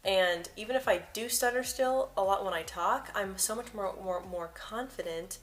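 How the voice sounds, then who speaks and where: A woman's voice: mid-range voice, moderate pace. A young woman vlogger who stutters, often repeating words and first sounds as she talks to camera and shares personal tips.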